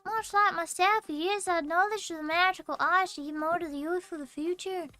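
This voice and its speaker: Girl streamer doing a squeaky high formal magic teacher, fake scottish accent, game music faint behind. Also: fairly quick, pushed and bright. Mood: lecturing. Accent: mock Scottish. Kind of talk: reading a visual novel aloud.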